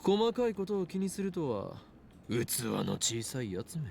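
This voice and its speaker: A man's voice: fairly high pitch, very animated, fast, creaky, a little mellow, slight background noise. A man voicing a pompous young self-styled mad scientist in a visual novel, haughty and declamatory, with game audio. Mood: haughty scorn. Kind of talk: Japanese visual novel voice acting.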